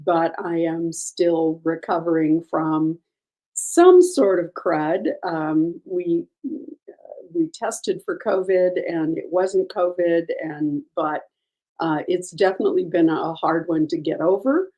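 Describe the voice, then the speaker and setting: A woman hosting a true crime show, hoarse and gravelly from a lingering cold, going over a case with frequent ums and uhs. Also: lowish voice, slow, dark tone, poor audio. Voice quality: gravelly, froggy voice. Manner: careful, with many ums and uhs. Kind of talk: casual case update.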